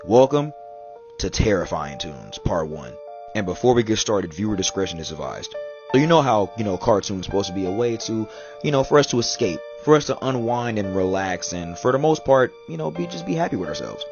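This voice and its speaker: A man hosting a video series in casual, halting commentary peppered with filler phrases. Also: fairly low pitch, sing-song, a little mellow. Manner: halting, repeats "you know". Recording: dull lo-fi clip with music. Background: background music.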